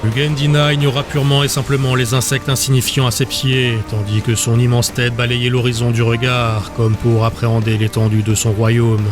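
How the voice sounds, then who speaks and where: A man's voice: medium pitch, little pitch movement, moderate pace, few pauses, over music. A man narrating a dramatic fantasy audiobook from a script.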